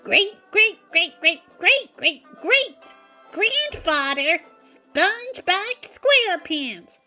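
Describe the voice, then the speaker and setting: An eager, stammering young cartoon fry cook, played by a woman, pitched very high. He is excitable and tumbles over his words, with music playing behind him. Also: slow pace, heavily acted. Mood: proud, excited.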